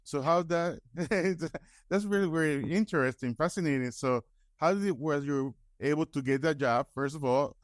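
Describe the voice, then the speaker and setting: A man's voice: fairly high pitch, normal speed, no echo. Man asking rambling questions, dry sound. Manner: stumbling, rambling questions with false starts. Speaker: interviewer. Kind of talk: casual fan-like celebrity interview.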